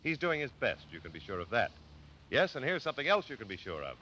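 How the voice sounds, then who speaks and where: A man's voice: medium pitch, big pitch swings, fast talker, bright, forceful tone. A man working as a polished radio announcer, delivering a salesmanlike 1940s sponsor message. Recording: dull vintage radio recording, faint noise.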